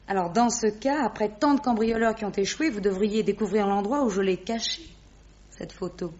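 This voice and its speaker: An actress playing a poised, witty, teasing high-society lady in a French radio drama, with a playful, mocking, theatrical delivery. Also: normal speed, darkish tone, lightly acted. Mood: teasing, challenging. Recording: dull lo-fi radio recording.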